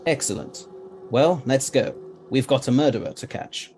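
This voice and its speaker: A man playing an eccentric, confident time-travelling gentleman scientist in an audio drama, courteous and explanatory, with old-fashioned phrasing. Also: medium pitch, moderate pace, noisy background, lightly acted. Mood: grave, resolute.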